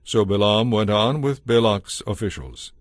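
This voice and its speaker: Low-pitched male scripture narrator, dry sound. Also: normal speed, no room sound.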